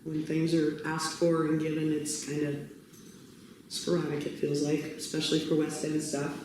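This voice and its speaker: A woman speaking at a community meeting in a measured, hesitant way about local government. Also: low-pitched, monotone, a little mellow. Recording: echoey room, background noise.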